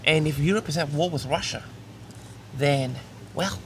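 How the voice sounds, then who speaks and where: A man's voice: a little high, moderate pace, a bit bright, a little hiss or hum. Self-important man lecturing his audience. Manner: self-important, grandiose, keeps addressing the audience. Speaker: host of a comedic audio log. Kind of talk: mock-serious monologue to an audience.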